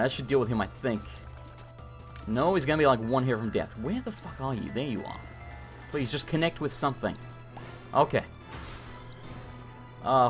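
Hoarse, animated man commentating gameplay. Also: mid-range voice. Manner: animated, frantic, swears often. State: hoarse, losing his voice. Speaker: gaming streamer.

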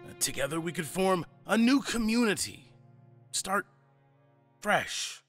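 An actor playing an earnest middle-aged monster father, telling family history with measured, dramatic pauses in an audio drama. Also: sits high, animated, a bit bright, soft background music, full character voice.

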